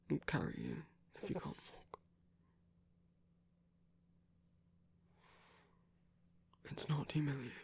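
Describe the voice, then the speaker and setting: A seductive, protective villain acted out by a man soothes a lover in an intimate ASMR romance. The voice is slightly high for a male, husky and breathy, often close to a whisper, with a crackly fry. The delivery is slow and tender. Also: exaggerated voice. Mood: soothing, tender. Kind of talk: ASMR romantic roleplay audio.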